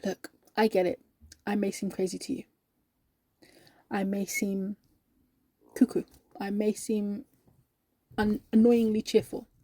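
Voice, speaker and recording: A devout, cheerful woman who works in a shop, as a female storyteller acts her out. She speaks softly and earnestly in a mellow, breathy voice, lingering on her words with serene conviction. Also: fairly high pitch, measured pace, no echo. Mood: gentle conviction.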